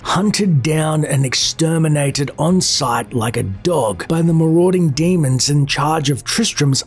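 A man's voice: fairly high pitch, slightly rough. A man narrating scripted fantasy lore in a dramatic, ominous storyteller's manner. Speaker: video narrator.

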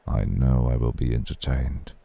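Man voicing an extremely deep, gravelly old vampire lord. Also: little pitch movement, dark tone, heavily acted. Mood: soothing, seductive. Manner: formal, unhurried, seductive. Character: suave, centuries-old male vampire lord, courteous, cool, possessive. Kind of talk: ASMR roleplay.

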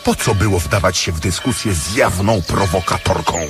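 A man's voice: sits low, normal speed, music in the background. An actor playing a stern, wary male intellectual who scolds in bookish phrases, in a radio comedy drama. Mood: suspicious.

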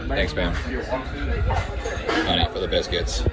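A man's voice: sits low, expressive, takes it slowly, a loud crowd. Upbeat man vlogging on camera. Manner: upbeat, talks his mother through her meal step by step. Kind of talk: casual travel vlog. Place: diner in an old train car, then outdoors by it. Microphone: handheld camera at arm's length.

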